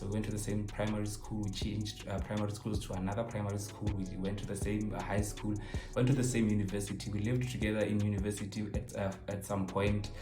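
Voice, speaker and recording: Deep-voiced young South African man in echoey room. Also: fast. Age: 20s. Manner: animated, joking, code-switches between languages. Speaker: YouTuber answering viewer questions. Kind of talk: casual chat to camera. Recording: roomy echo, faint music behind.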